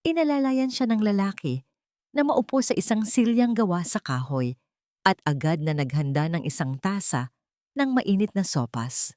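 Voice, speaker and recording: A woman's voice: medium pitch, big pitch swings, moderate pace, darkish tone, no echo, studio quality. A woman with a Filipino accent narrating a scripted, dramatic audio story as a storyteller.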